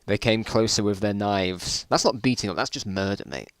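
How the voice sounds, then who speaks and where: A man's voice: fairly low pitch, moderate pace, no room sound, studio quality. A British man reading stories aloud in an informal dramatic style, breaking off with amused, incredulous asides. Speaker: reader of his own old school stories.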